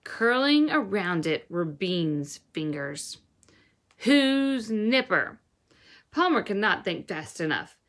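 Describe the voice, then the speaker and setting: A woman reading a children's novel aloud as a teacher would, with an expressive, instructive delivery. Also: on the low side, measured pace, hardly any pauses. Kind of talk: storybook read-aloud narration.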